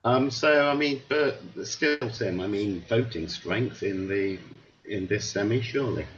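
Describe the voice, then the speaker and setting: Lo-fi low-voiced male host. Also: a bit bright. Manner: brisk, steering questions to guests. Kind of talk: hosting a panel discussion. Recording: dull lo-fi audio with faint hiss.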